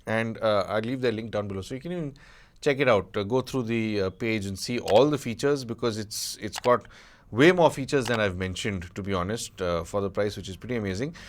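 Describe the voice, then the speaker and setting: A man's voice: on the low side, a little fast, a little hiss or hum. A man giving a casual, unscripted product review as an audio gadget reviewer, conversational and hedging with colloquial asides.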